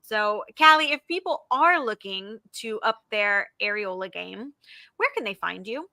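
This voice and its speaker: A woman's voice: mid-range voice, expressive, measured pace, vocal fry, sharp tone, no room sound. A woman hosting a podcast, talking earnestly and giving advice to students in a casual chat. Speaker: podcast host.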